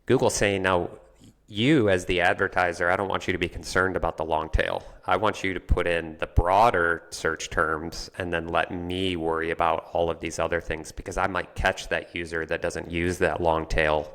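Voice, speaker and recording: A man's voice: low-pitched, little pitch movement, moderate pace, a bit bright. A man talking through an explanation in a conversational way, like a guest on a business podcast.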